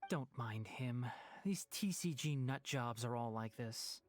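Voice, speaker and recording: A man's voice: medium pitch, unhurried, natural voice. A man voicing a sensible young scholar in a fantasy game, dry and wry. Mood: wry, exasperated. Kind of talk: game dialogue.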